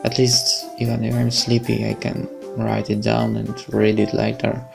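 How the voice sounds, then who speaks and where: Young man, Polish accent, gravelly, slow, faint music behind. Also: lowish voice, completely flat delivery. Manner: slow, chatty, stumbling over words. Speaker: let's play host. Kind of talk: reading a visual novel aloud with commentary.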